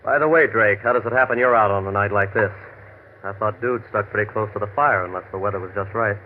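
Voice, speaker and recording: A man's voice: on the low side, fast, a little hiss or hum, lightly acted. An actor playing a tough, defensive American gunman in classic radio drama style, talking in argumentative, menacing slang. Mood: wary, defensive. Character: tough, defensive gunman ex-convict posing as a friend. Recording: thin, tinny vintage radio recording.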